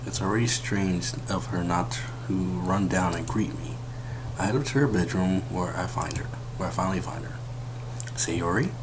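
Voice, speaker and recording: A young man with a deep voice quietly hosting a let's play, commenting and reading the game text aloud in a subdued way. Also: moderate pace, background noise. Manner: subdued, keeping quiet late at night. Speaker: gaming channel host. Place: at home, indoors at night. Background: game music.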